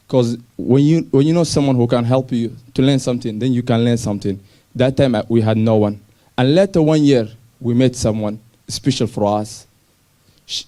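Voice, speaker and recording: Young man telling his story, mellow voice. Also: medium pitch. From Somalia. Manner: simple halting English with restarts. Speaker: speaker sharing his refugee story. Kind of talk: personal story talk on stage.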